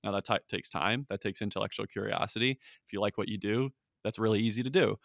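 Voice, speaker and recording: Man, twenties, lowish pitch, earnest, steady, dry sound. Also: quick pace, vocal fry, no echo. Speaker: analyst. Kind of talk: podcast interview answers.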